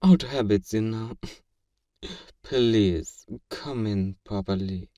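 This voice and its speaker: A man playing a feared fantasy villain in a flirtatious audio roleplay, measured, amused and condescendingly courteous, in an intimate ASMR acting style. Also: lowish voice, warm tone, studio quality. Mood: smug, welcoming. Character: feared male fantasy villain, suave, teasing, flirtatiously obsessive. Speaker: voice actor performing a roleplay. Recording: roomy echo, distant from the mic.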